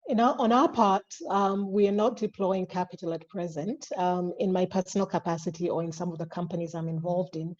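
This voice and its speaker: Low-voiced woman on muffled call audio. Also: steady tone, fairly quick, few pauses, darkish tone. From Kenya, Namibia and Somalia. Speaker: lawyer and investor. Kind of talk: webinar panel talk.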